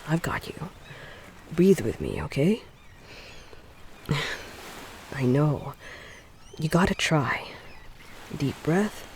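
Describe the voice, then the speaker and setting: A caring young boyfriend acted out by a man speaks slowly in a slightly high voice over noticeable background ambience. His delivery is intimate and reassuring, often dropping to a breathy hush, in the manner of a comfort audio roleplay. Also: wildly swooping pitch, slight background noise, full character voice. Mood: anxious, soothing.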